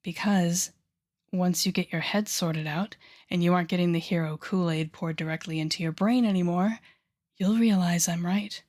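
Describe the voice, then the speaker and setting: A woman performs a telepathic villainess for an audio roleplay. Her voice sits a little low for a woman. She is haughty and sardonic, teasing the listener with sighs and deliberate pauses, a softer heart hidden under the scorn. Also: natural voice. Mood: smug, flirtatious. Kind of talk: intimate audio roleplay acting addressed to the listener.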